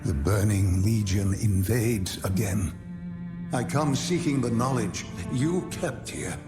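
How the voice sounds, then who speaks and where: A man playing an earnest, resolute older archmage in a video game cinematic, with grave, measured, theatrical delivery. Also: on the low side. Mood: earnest, wary. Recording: loud music under the voice.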